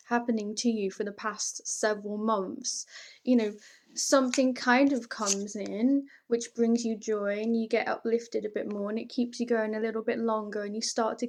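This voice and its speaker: A woman's voice: fairly high pitch, darkish tone. A woman reading tarot for the listener in an informal, conversational way, earnest, emphatic and reassuring. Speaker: tarot reader. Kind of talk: intimate, encouraging tarot reading addressed to the listener.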